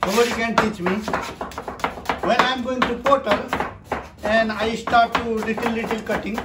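Man chef talking over loud chopping. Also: extremely high pitch, steady tone. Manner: halting, broken English in short bursts. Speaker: restaurant chef. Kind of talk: casual explanation while demonstrating. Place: commercial restaurant kitchen, indoors. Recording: loud kitchen noise with chopping.

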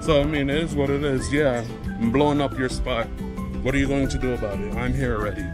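An American man chats in a laid-back, colloquial way for a walking vlog. His voice sits at an average pitch. Music plays clearly behind him out on the street. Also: fairly even intonation, fast. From United States. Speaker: travel vlogger. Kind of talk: casual rambling walking vlog. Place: outdoors on town streets.

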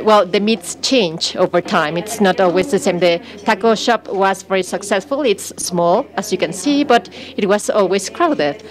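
Female live interpreter, medium pitch, halting short phrases, conference talk delivery. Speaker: simultaneous interpreter.

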